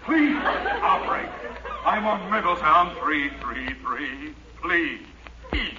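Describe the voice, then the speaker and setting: An actor playing an insistent, frustrated and impatient telephone caller in a 1940s radio comedy. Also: male voice, a little high, big pitch swings, takes it slowly. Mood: exasperated, desperate. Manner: insistent, exasperated. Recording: very noisy, dull 1940s radio recording.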